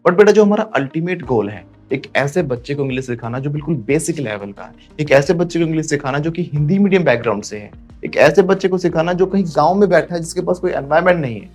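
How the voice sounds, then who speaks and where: Guy teaching english, bit high voice, keeps asking class to repeat, faint music behind. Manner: lively, repeats drills, asks class to echo.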